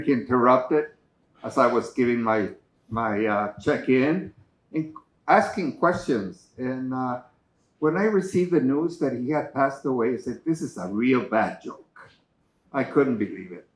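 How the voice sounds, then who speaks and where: A man from Mexico with a Mexican Spanish accent who speaks Spanish as his first language, sharing a quiet, halting remembrance at a memorial. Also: medium pitch. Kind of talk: personal remembrance. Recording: echoey room.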